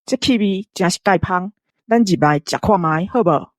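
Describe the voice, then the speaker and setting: A woman's voice: on the low side, normal speed, no room sound, close to an everyday voice. A naive young village lad, played by a woman, curious and frank, speaks with a Taiwanese accent. It is radio-play acting, recorded close and very dry. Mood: eager.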